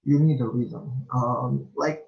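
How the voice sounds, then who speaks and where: Halting young man with a Bulgarian accent. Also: medium pitch, steady tone, vocal fry. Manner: halting, many fillers and restarts. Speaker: interviewee, small vlogger. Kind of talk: casual interview answers. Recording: dull lo-fi recording.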